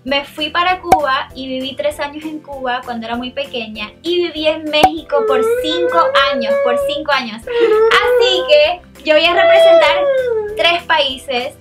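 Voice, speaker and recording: A young woman from Argentina hosts a casual chat with friends, her voice pitched high and bubbly with frequent laughter. Music plays clearly behind her in an indoor studio, picked up by the camera mic. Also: lively intonation, unhurried. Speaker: channel host. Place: indoor studio with makeup shelves.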